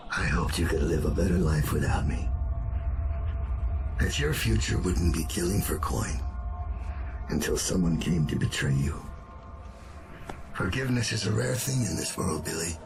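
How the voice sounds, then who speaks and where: An actor playing a sick old master assassin in a video game, gravelly, grim and weary, with heavy deliberate phrasing. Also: male voice, deep voice, expressive, over music, heavily acted. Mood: resigned, tender. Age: older. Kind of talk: dramatic video game cutscene acting.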